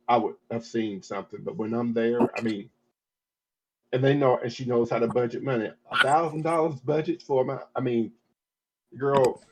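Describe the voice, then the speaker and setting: A man's voice: mid-range voice, background noise. A man in his fifties talking casually on a podcast, rambling with many restarts and repeated "I mean"s. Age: 50s. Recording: dull lo-fi audio.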